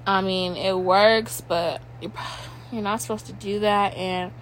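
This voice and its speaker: A woman's voice: mid-range voice, steady tone, a little slow, slight background noise, poor audio. A young woman with a stuffy nose, rambling casually at home as a podcast host, tripping over her words and swearing now and then.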